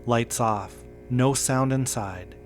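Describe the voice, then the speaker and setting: A man reading the part of a steady male patrol officer giving brief, flat radio reports in an audio story. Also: medium pitch, takes it slowly, faint music behind, close to an everyday voice. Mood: laconic, procedural.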